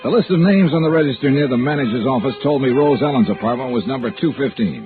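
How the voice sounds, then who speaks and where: An American actor playing a wry, hard-boiled insurance investigator in an old-time radio detective drama, clipped in delivery. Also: male voice, medium pitch, animated, fast talker, narrow, tinny audio, close to an everyday voice. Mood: dry narration. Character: wry, tough male insurance investigator, private-eye type. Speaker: lead actor and first-person narrator. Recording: band-limited old radio recording, music cues.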